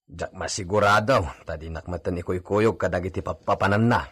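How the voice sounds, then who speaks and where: Concerned male servant for Ilocano radio drama, man acting: low register, husky edge. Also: fairly quick, a bit bright. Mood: curious, concerned. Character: concerned male servant talking with his pregnant mistress.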